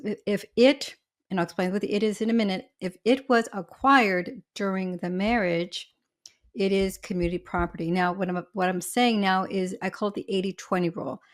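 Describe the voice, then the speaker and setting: A woman speaks with a smoky, slightly husky voice, worn by allergies. She is an attorney guest on a podcast, talking through legal rules in a chatty way, with homely examples and quick asides. Her pitch sits in an ordinary middle range. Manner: talkative, explains with everyday examples and asides. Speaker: family law attorney, guest. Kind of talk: podcast interview, explaining law.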